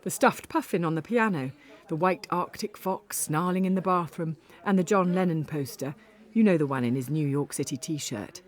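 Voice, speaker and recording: A woman reading a first-person literary story as an audiobook narrator, with a husky voice. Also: low-pitched, normal speed.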